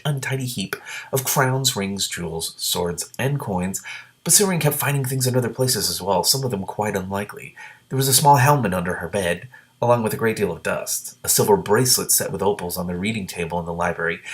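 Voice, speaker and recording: A man with a low-pitched voice reads a novel out loud as a host. He slips between the narration and his own spontaneous commentary, with animated, incredulous reactions. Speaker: reader with commentary, show host.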